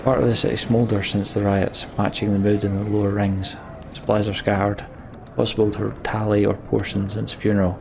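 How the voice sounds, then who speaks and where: Low, creaky-voiced male gamer. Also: level tone, brisk, background noise. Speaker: let's play host. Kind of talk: game commentary and reading dialogue aloud.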